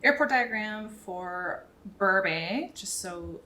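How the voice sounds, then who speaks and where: A woman's voice: medium pitch, expressive, slow, a crowd in the background, rough recording. A woman in her thirties giving a casual tutorial as a pilot and video host, thinking aloud as she works, close to a microphone in a small room. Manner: informal, thinking aloud while working. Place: small indoor room at home. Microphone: boom-arm microphone close up, headset worn.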